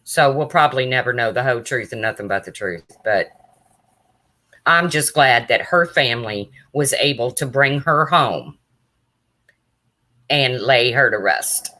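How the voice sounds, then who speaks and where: Deep raspy woman chatting on a live stream. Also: lively intonation, a little slow, pushed and bright. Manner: chatty, answers viewers' comments aloud by name. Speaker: true crime livestreamer. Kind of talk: casual live stream chat.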